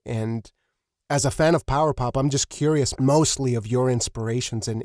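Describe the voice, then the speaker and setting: A man's voice: medium pitch, a little mellow, no room sound, clean studio sound. A man hosting a podcast in a casual conversational style, feeling his way hesitantly through questions and repeating words as he goes. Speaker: podcast host, interviewer.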